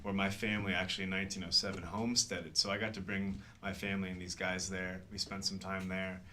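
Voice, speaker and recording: A man's voice: deep voice, flat delivery, quick pace, pushed and bright, a little hiss or hum. A man from southern Alberta, a musician, chatting enthusiastically in a casual radio interview. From southern Alberta, Canada. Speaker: musician, band co-founder. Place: small radio studio, indoors.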